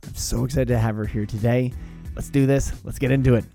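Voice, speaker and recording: A man's voice: medium pitch, fairly even intonation, fast talker, vocal fry, dark tone, faint music behind. A man hosting a podcast, upbeat and welcoming as he opens the show and introduces a guest. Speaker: podcast host.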